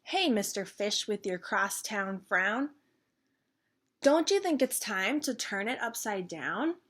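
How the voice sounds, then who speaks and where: A woman's voice: mid-range voice, sing-song, takes it slowly, fairly bright tone, exaggerated voice. A woman voicing a friendly, cheerful clam in a children's storybook read-aloud, upbeat and coaxing.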